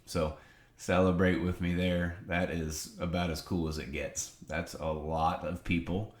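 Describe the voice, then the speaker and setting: Deep-voiced man, nasal with a cold. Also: level tone, measured pace, roomy sound. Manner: upbeat, earnest, preacherly emphasis. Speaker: ministry leader giving a video update. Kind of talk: casual spoken update to supporters. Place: home office.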